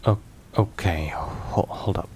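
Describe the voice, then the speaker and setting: A man playing a brooding but tender mafia boss boyfriend in an intimate ASMR roleplay, murmuring haltingly with hushed pauses. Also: deep voice, slight background noise. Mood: stunned, unsure. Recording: rain and thunder behind voice. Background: rain and thunderstorm ambience.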